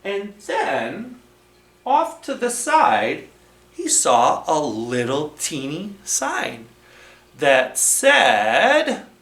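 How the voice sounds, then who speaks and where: A man reading a storybook aloud to children as a storytime host, expressive, with dramatic pauses and emphasis. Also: a little high. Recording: roomy, echoing room sound.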